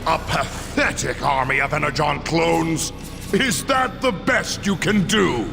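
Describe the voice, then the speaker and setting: Ruthless robot warlord, gravelly, imperious, high-pitched for a man, slow delivery, video game. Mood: mocking. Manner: imperious, theatrical shouting and sneering. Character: ruthless, arrogant warlord robot, commanding the Decepticons. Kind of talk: video game cutscene acting. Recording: loud music over the voice.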